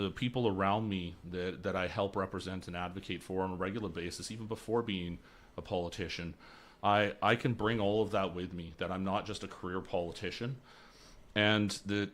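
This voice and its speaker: Very deep-voiced young man, earnest. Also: fairly bright tone. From Lower Mainland, British Columbia. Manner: earnest, repeats words while he searches. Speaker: election candidate. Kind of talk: radio interview answers. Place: radio studio. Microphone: studio mic on boom arm.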